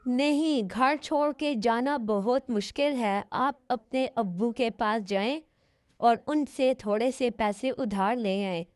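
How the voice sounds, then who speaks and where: Woman as a slow, high-voiced, worried mother. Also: no room sound. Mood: encouraging. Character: worried, steadfast mother and wife. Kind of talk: animated moral story dialogue.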